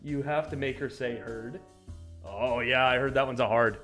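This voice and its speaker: A young man chatting casually on a live stream from a small room, profane and excitable, bursting into loud outbursts. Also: medium pitch, normal speed, faint music behind.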